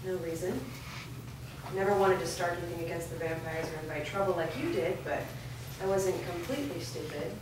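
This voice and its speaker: A woman's voice: lowish voice, a little fast, close to an everyday voice. A woman playing a determined, guarded grown daughter in a staged fantasy drama reading. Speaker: actor. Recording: echoey room, distant mic, background noise.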